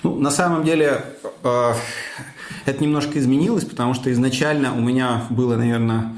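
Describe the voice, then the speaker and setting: A man, a conference speaker and cloud architect, answering interview questions thoughtfully, with many fillers and pauses. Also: mid-range voice, steady tone, reverberant.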